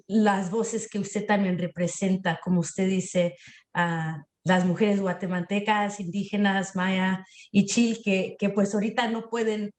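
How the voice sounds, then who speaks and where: A woman moderating an online panel, halting and full of fillers as she thanks the speaker and moves between Spanish and English. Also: medium pitch. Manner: halting, many fillers, appreciative. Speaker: event moderator. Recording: roomy echo.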